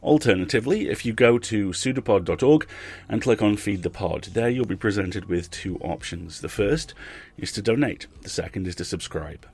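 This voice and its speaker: Male podcast host, low and husky, chatty delivery with emphatic phrasing. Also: expressive, normal speed, hardly any pauses, darkish tone. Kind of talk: podcast outro with donation appeal.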